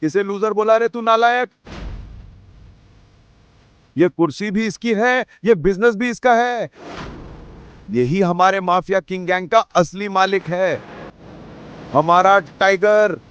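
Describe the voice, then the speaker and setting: A man voicing a fearsome older mafia gang boss in an audio drama, with a booming, dramatic delivery. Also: slow, pauses often, a bit bright, clear, resonant tone, highs cut off. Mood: indignant.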